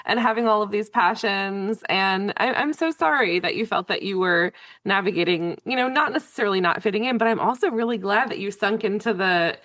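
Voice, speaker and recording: A woman's voice: mid-range voice, brisk, fairly bright tone, no echo. A woman hosting a podcast, talking enthusiastically and chattily with her guest. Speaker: podcast host. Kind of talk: casual conversational interview.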